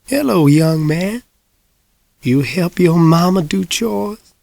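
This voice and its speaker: A man voicing a frail, folksy woman of a hundred and six, with a quavering, breathy Southern drawl and a sly warmth. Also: high voice, lively intonation, dry recording, voice pushed up, exaggerated voice. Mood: teasing, probing. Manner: slow, drawling country speech.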